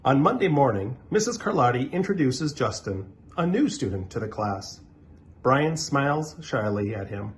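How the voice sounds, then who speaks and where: A man reading a children's story aloud in an animated, expressive way, with dramatic pauses. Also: mid-range voice, slight background noise.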